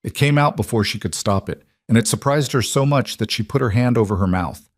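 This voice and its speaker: A man narrating a scripted crime story in a measured, even way. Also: mid-range voice, no room sound, clean studio sound.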